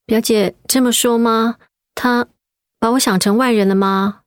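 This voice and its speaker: An actress playing a caring young mother in a radio drama, with a gentle, reassuring way of talking. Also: fairly high pitch, steady tone, a little slow, clear, resonant tone, dry recording, studio quality, lightly acted.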